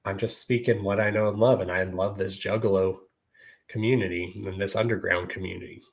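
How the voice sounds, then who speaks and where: Laid-back low-voiced man in his thirties. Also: fairly even intonation, fairly quick. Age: 30s. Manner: laid-back, repeats "you know" and "y'all". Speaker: podcast host. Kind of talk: casual monologue to viewers.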